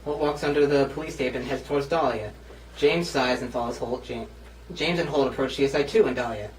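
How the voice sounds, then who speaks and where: A man's voice: medium pitch, a little fast. A man in his twenties casually reading out script stage directions as host and narrator. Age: 20s. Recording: roomy, distant mic, faint noise.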